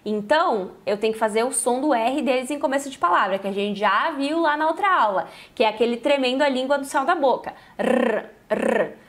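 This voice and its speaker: A woman's voice: high voice, expressive, quick pace, few pauses. A Brazilian woman, a native Portuguese speaker, teaching Spanish in an informal, conversational lesson style with frequent check-in tags. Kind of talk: informal language lesson, explaining and translating example phrases.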